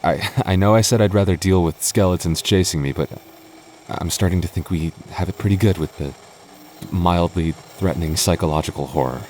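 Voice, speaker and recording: Man acting a very deep-voiced nervous goth boyfriend. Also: a little mellow, slight background noise, natural voice. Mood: wary, wry. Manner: stammering, halting, nervous, wry. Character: anxious, protective young goth boyfriend, nervously joking. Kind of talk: boyfriend ASMR audio roleplay.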